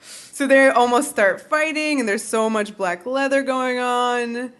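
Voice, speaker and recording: A woman's voice: on the high side, unhurried, fairly bright tone, clear, resonant tone. A woman co-hosting a podcast, recapping a TV episode in casual, jokey chat. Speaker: podcast co-host.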